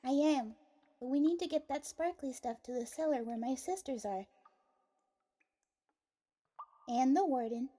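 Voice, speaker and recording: Plucky little farm girl, played by a woman, high-pitched, earnest and polite, fantasy audio drama. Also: lively intonation, normal speed, warm tone. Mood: brisk, determined.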